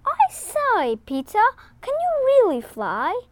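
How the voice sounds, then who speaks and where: A woman voicing an eager, practical young boy in a storybook, excitable and bossy, with posh schoolboy exclamations. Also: extremely high pitch, takes it slowly, dry recording, pitched above the performer's own voice, exaggerated voice. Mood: excited wonder.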